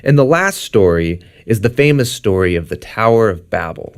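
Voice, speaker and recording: A man's voice: on the low side, expressive, normal speed. A man co-hosting an explainer, narrating in a casual, conversational and plain-spoken way. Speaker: co-host.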